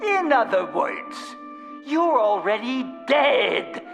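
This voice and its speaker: An actor playing a flamboyant, gloating mad bomber in a video game, with theatrical, boastful taunts. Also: male voice, very high-pitched, very animated, slow, vocal fry, long reverb tail, over music, heavily acted. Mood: gleeful, gloating. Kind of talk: video game villain acting.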